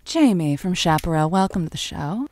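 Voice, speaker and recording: A woman's voice: fairly low pitch, very animated, moderate pace, darkish tone, dry recording. A woman playing a radio advice host, wry and frank, with hesitant reassurance in a call-in drama. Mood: reassuring.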